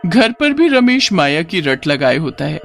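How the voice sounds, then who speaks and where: A man's voice: a little high, sing-song, unhurried, gravelly, a bit bright. Male narrator for scripted moral tales: dramatic, very varied intonation, faint music bed. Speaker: story narrator.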